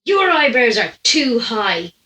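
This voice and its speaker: Irish girl doing a mad old irish fella, rude and slow, sharp loud delivery, echoey room. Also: fairly high pitch, sing-song, far from the mic, full character voice. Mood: blunt, insistent. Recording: roomy echo.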